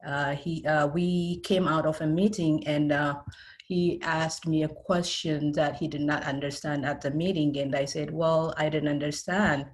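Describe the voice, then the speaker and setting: A woman's voice: sits low, fairly even intonation, unhurried. A middle-aged woman with an Ethiopian accent, giving a personal account as an interview guest. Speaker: interview guest, IT specialist and career mentor.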